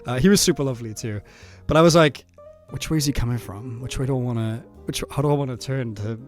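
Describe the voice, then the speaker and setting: A man's voice: medium pitch, fast, faint music behind. Laughing, swearing Welsh man. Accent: South Welsh. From South Wales. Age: 30s. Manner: nervous, self-deprecating, laughs a lot, swears freely. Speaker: vlogger reacting to his own footage. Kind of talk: casual commentary.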